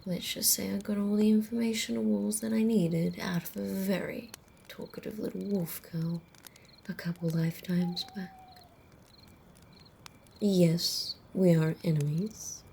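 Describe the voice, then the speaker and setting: A woman playing a centuries-old female vampire in an ASMR roleplay, wry, teasing and casually menacing, in a soft, breathy, often whispered voice. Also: medium pitch, steady tone, a little hiss or hum. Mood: wry, amused. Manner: unhurried, amused, conversational.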